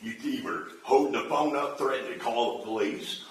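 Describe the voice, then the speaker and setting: A man with a deep voice speaking bluntly and defensively as a resident at a village board meeting. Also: brisk, slight background noise. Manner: blunt, defensive, plain-spoken. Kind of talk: heated back-and-forth at a public meeting. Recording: echoey room, mic far off.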